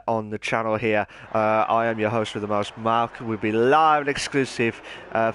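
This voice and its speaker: A man hosting and commentating an online racing league, his voice hoarse and nearly gone, talking in an excitable, chatty way. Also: medium pitch. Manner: excitable, shouting during qualifying, then calm chatty standings rundown. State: hoarse, lost voice. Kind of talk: live esports race commentary.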